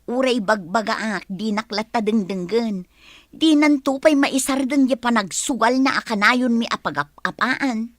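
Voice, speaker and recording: A woman's voice: fairly high pitch, measured pace, no room sound, studio quality, lightly acted. A woman with a Filipino accent playing a sweet, anxious young nurse and girlfriend in a radio drama. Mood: upset.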